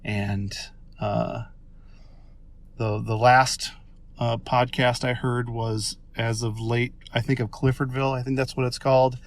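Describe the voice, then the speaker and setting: A man's voice: medium pitch, level tone, unhurried. An American man leaving a casual voice message, talking in an enthusiastic, informal and rambling way. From Minnesota, United States.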